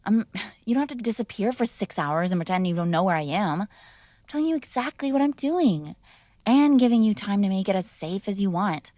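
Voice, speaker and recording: A woman's voice: medium pitch, lively intonation, a little fast, warm tone, no room sound, studio quality, close to an everyday voice. A woman playing a spoiled, defiant mob boss's young daughter in a conversational, argumentative ASMR roleplay. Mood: firm, negotiating. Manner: conversational, sighing, coaxing and argumentative. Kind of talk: ASMR girlfriend roleplay, one-sided conversational acting.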